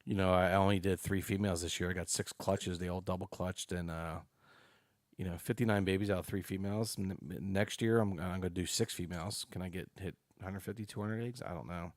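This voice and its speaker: A man's voice: sits low, steady tone, a little fast, dry recording, clean studio sound. A man in his late forties, a podcast guest chatting casually and animatedly, full of "you know" and "dude". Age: 40s. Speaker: podcast guest, snake breeder.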